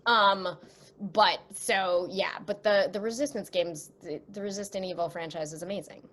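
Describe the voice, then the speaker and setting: Casting: female voice actress as interview guest, chatty and expressive, average pitch. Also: normal speed, a little hiss or hum. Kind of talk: casual interview chat.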